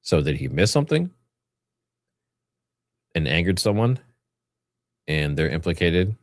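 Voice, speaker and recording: A man's voice: sits low, big pitch swings, a little slow, no echo, studio quality. A man hosting a livestream, giving informal, rambling commentary and partly reading from notes. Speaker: livestream host.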